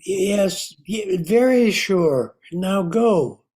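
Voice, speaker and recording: A man's voice: high-pitched, expressive, drawn-out words, noisy background, heavily acted. A man acting a kindly scientist in his mid-sixties, mild, polite and hesitant, in an amateur radio drama. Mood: polite, reassuring.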